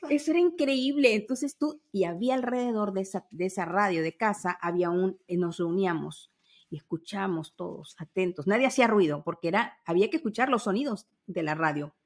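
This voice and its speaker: A woman in her fifties speaks as a podcast host with a medium-pitched voice. She tells things in an enthusiastic, nostalgic way, as casual conversation with a friend. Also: fairly quick. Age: 50s. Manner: enthusiastic, storytelling with spoken sound imitations.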